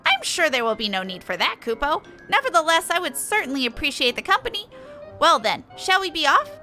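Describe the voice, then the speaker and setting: A tiny, eager moogle creature with a very high, squeaky voice. The delivery is bright, earnest and exclamatory, like a cute game mascot, with music playing behind. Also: female voice, big pitch swings, fairly quick, full character voice. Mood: polite, earnest. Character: small eager excitable moogle apprentice creature.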